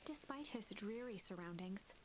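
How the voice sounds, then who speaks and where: A woman narrating a story game in a calm, measured scripted read. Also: mid-range voice, a little hiss or hum.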